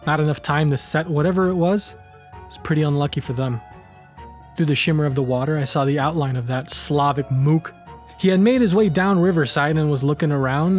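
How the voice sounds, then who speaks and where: A man's voice: fairly high pitch, a little mellow, lightly acted. A man playing a cocky, wry tough guy who narrates his own hardboiled noir crime story in slangy language. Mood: cool, smug.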